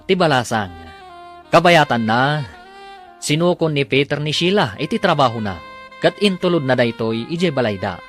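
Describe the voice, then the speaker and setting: A man's voice: medium pitch, fairly bright tone. A man delivering dramatic scripted narration as the announcer of a radio drama.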